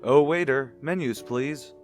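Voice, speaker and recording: An American actor playing an easygoing, skeptical husband in an old radio mystery, with a wry, bantering way of talking. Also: male voice, medium pitch, measured pace, faint music behind, natural voice. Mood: teasing. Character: teasing, easygoing, skeptical husband of an amateur sleuth. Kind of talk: mid-century radio drama acting.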